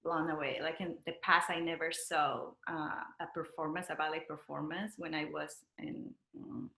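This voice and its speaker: A woman from Peru with a Peruvian Spanish accent, speaking casually and haltingly as she searches for words. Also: low voice, little pitch movement, creaky, bright, forceful tone. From Lima, Peru. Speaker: interview guest, dancer and choreographer. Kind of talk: casual conversational interview. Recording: roomy echo.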